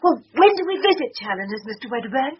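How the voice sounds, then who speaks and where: An actress playing an assured, exacting middle-aged English wife in a radio drama, crisp and insistent, on an old recording. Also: female voice, high voice, big pitch swings, fast, exaggerated voice. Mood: wondering, certain. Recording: dull, faintly noisy old radio recording.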